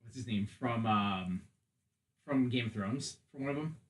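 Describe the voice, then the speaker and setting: A man's voice: medium pitch, unhurried. A man hosting a casual film and game podcast, talking in a rambling, profane way full of joking comparisons. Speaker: podcast host. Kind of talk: casual chat about films and video games.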